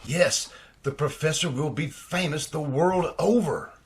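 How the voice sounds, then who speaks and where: A man playing an eager, deferential scientist's assistant in old-time radio drama style, excitable and a bit hesitant. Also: on the high side, a little slow. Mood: proud.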